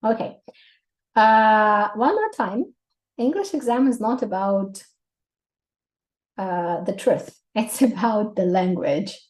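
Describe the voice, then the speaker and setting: A woman in her thirties with a Russian accent, teaching an English exam prep lesson in a lively way, laughing often and giving many examples. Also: medium pitch, slow pace, pauses often. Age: 30s.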